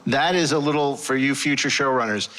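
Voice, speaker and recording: A man's voice: medium pitch, brisk, fairly bright tone. Man hosting a panel in a reverberant hall. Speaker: panel host. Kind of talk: casual live panel moderation. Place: convention hall before an audience.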